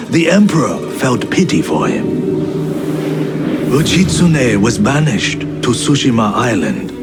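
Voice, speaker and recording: A solemn storyteller of old legends, speaks slowly with a lively, swooping pitch. His delivery is measured and dramatic, like game narration, over prominent music. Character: solemn male storyteller recounting ancient Japanese legends. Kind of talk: scripted narration of legendary tales. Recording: music behind the voice.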